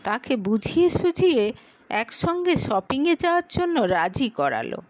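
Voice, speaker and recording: A woman's voice: high voice, big pitch swings, moderate pace, dry recording. A woman narrating a scripted dramatic story.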